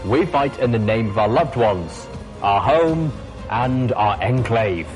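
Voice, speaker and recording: A man doing a booming pegasus colonel mare. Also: expressive, slow pace. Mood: rousing. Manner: booming, declamatory speech. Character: female pegasus officer, commanding and rousing. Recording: music playing underneath.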